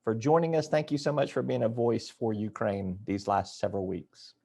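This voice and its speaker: A man's voice: lowish voice, steady tone, normal speed, gravelly, warm tone. An older man interviewing a guest as the host of a broadcast talk program.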